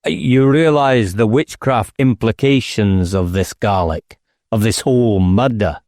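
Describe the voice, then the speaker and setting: A man's voice: fairly low pitch, a little slow, a little mellow, no echo, clean studio sound. A man voicing a dogged, blunt police inspector in an audiobook, probing in a pointed, formal way. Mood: accusing. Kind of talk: audiobook character dialogue.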